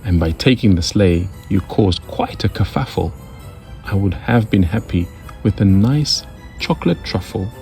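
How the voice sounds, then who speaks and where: Man playing astonished, gently scolding Mrs. Claus. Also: on the low side, expressive, measured pace, creaky, soft background music. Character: Mrs. Claus, woman, astonished but kindly scolding wife.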